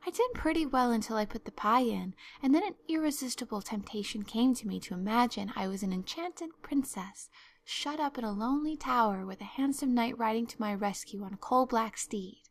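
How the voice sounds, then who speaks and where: A woman's voice: a little high, expressive, fairly quick. A woman voicing an imaginative, earnest young girl in a storybook, talking in an effusive, theatrical, pleading way. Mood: earnest, dreamy. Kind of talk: storybook narration.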